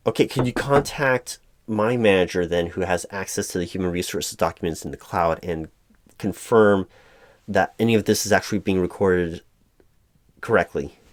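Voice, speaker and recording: A man's voice: sits low, no echo. A man playing a flustered, apologetic corporate hiring manager in a one-sided roleplay, stammering and repeating his words as he goes. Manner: frustrated, hesitant. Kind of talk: improvised audio roleplay, one side of a conversation.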